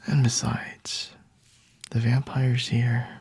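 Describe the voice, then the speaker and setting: A man playing a flirty, aloof, high-ranking vampire in an ASMR roleplay, speaking in a breathy, hushed whisper close to the mic with haughty, seductive pauses. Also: little pitch movement. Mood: aloof, smug. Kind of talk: ASMR roleplay, scripted monologue to the listener. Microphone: close-up microphone.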